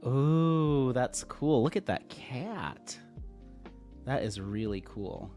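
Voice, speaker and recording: A man hosting a casual craft livestream, slightly sniffly, reading viewer comments aloud in a relaxed, friendly way at a desk microphone. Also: medium pitch, extremely slow, faint music behind. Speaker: livestream host. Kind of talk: casual live-stream chat, reading comments. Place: home craft room, at a desk.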